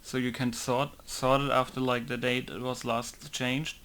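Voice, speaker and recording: A man's voice: medium pitch, flat delivery, a little slow, fairly bright tone. A man casually talking through a game modding tutorial in an informal, rambling way, swearing now and then. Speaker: game modder.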